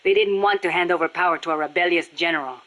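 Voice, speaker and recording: Woman acting nicaraguan rebel lady from a video game, spanish accent, lowish voice, serious and passionate, bitter about her country. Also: fast talker, fairly bright tone, close to an everyday voice. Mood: grave. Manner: earnest, solemn storytelling. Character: passionate, embittered young Nicaraguan Sandinista guerrilla woman.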